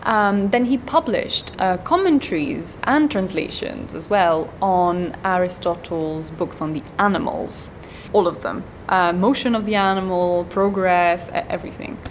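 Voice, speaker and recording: A woman guest on an academic interview, explaining at length and pausing often with ums and uhs. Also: lowish voice, slight background noise. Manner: hesitant, many ums and uhs. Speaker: guest scholar.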